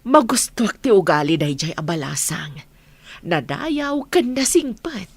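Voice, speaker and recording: A woman's voice: medium pitch, big pitch swings, measured pace, breathy edge, dry recording, full character voice. A woman with an Ilocano accent playing a kindly, approving mother in a radio comedy drama. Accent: Ilocano Filipino.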